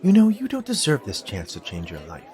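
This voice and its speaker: A man's voice: mid-range voice, huge pitch swings, a little fast, darkish tone, a faint crowd, voice pushed up. A man voicing a preachy, frustrated female spirit bee in a story, chiding and incredulous. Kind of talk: storybook character dialogue.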